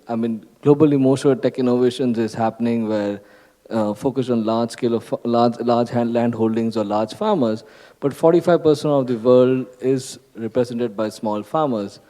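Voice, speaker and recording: A man's voice: mid-range voice, warm tone. A man introducing his fund on a conference panel, listing points with many fillers. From India. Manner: enumerating, frequent fillers, asks "right?". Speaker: venture capital panelist. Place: conference hall stage. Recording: hall reverb.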